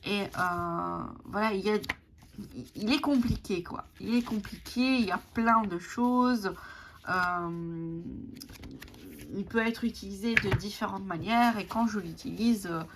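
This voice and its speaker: Woman, Italian native, card-deck vlogger, halting and filler-heavy, mid-pitched voice, audible background noise. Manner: hesitant, many fillers and restarts. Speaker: tarot and oracle deck reviewer. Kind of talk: casual show-and-tell vlog.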